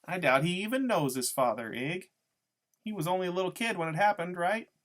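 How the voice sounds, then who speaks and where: A man's voice: high-pitched, expressive, fairly quick, fairly bright tone, voice pushed up. A man voicing a giggly, mocking, slangy tough woman in a story reading. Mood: prying. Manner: teasing, taunting, slangy. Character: giggly, mocking, needling tough woman who drinks beer.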